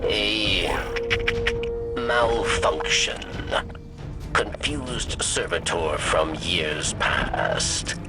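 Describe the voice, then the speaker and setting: An actor playing a scheming alien prison keeper in a video game, speaking formally and haltingly of himself in the third person. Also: male voice, deep voice, lively intonation, over loud music, heavily acted. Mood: apologetic, uneasy. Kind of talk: video game cutscene acting.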